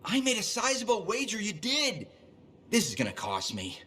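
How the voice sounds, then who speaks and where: An actor playing an excitable, gullible man in a video game, eager and overdramatic, with a theatrical delivery. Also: sits high, moderate pace, heavily acted. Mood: dismayed. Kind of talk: video game cutscene acting.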